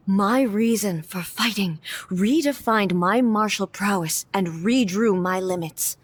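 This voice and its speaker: An actress voicing a stern, composed female warrior ruler in a video game cutscene, speaking in a measured, formal, solemn way. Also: medium pitch, husky, dry recording. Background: faint game music.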